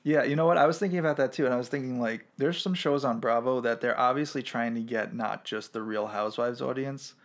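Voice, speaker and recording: A young man co-hosting a podcast in casual chat, eager and quick to jump in. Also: mid-range voice. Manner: eager, jumps in and talks over. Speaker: podcast co-host. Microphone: podcast microphone.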